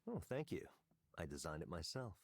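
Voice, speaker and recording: An actor playing a calm, probing male tech executive in a voiced game, measured and quietly needling. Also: low-pitched, creaky, no echo, studio quality, heavily acted. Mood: cool, probing. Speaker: game character.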